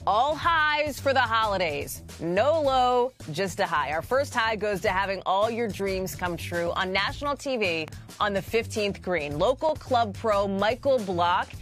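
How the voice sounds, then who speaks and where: An American woman in her thirties presenting lively, conversational TV news narration with emphatic delivery, in a studio. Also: mid-range voice, measured pace. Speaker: news anchor. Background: music.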